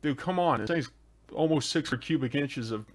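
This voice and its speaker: A man's voice: fairly high pitch, moderate pace. A man hosting a live stream, chatting casually and answering viewers' questions one by one into a desk microphone. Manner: answers viewer questions on engine tuning, laid-back and chatty. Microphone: desk microphone, adjusted mid-show.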